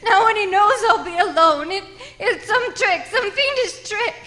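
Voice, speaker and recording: An actress playing a frantic, self-pitying, imperious invalid wife in a radio thriller, stammering, pleading and breaking into shrill outbursts. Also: female voice, lively intonation, moderate pace, bright, forceful tone, heavily acted. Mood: hysterical, angry. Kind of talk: old-time radio thriller acting.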